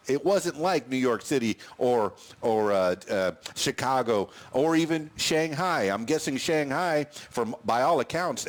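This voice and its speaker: A man from Miami hosting a podcast interview, who rambles and strings several questions together. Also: medium pitch. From Miami, USA. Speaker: podcast host. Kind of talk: casual interview talk.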